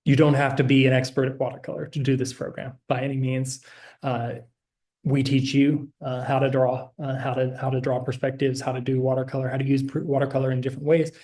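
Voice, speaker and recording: A man walks through slides in a relaxed, hesitant way, stopping often for fillers and restarts. His voice has a warm, mellow tone and stays fairly level in pitch. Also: brisk. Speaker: program director presenting. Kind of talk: informational slideshow presentation.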